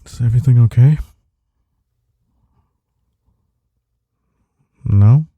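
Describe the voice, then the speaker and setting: A man acting in an intimate audio roleplay as a gruff but caring office rival, speaking in a husky, soft, reassuring way. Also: medium pitch, quick pace, no room sound, dull audio, close to an everyday voice. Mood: gentle concern.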